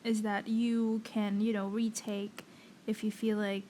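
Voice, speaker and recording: A woman's voice: mid-range voice, little pitch movement, measured pace, warm tone, slight background noise. A young woman co-hosting a casual podcast chat, her voice a bit worn from singing, who says "like" a lot. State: losing her voice. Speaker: podcast co-host.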